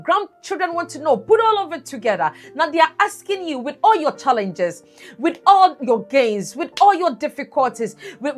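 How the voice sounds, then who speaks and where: Lively high-voiced woman talking money. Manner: earnest, preachy, asks the viewer questions. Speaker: host of a personal finance channel. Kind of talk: solo talk to camera.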